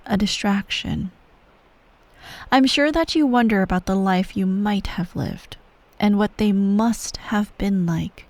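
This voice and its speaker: Woman acting out an imperious evil empress, cold, manipulative, measured, condescending, breathy near-whispers, dry close-mic ASMR roleplay. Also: mid-range voice, a little mellow, dry recording. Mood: stern, instructive. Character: cold, manipulative female ruler of an evil empire, stern parent. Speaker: voice actor in a roleplay audio. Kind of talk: ASMR roleplay, slow bedtime storytelling. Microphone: close microphone.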